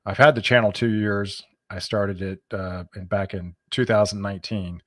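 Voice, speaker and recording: Halting man chatting on a livestream. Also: on the low side, steady tone. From Texas, USA. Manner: halting, repeats words, chuckles often. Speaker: weather channel host. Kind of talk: casual livestream chat.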